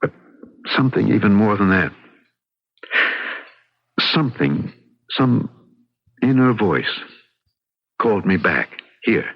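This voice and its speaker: A man's voice: lowish voice, animated, takes it slowly, pauses often, breathy edge, full character voice. An actor playing a troubled, melancholy man in an old radio drama, telling his story in brooding, literary phrases. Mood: anguished, uneasy. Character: troubled, melancholy man recovering from nervous breakdown. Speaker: actor narrating and playing the lead. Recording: muffled old radio broadcast with music.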